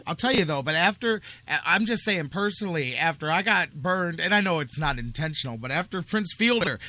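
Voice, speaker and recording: A man's voice: on the high side, lively intonation, brisk, bright, forceful tone, dry recording. A man hosting a live sports talk radio show in a conversational, opinionated way.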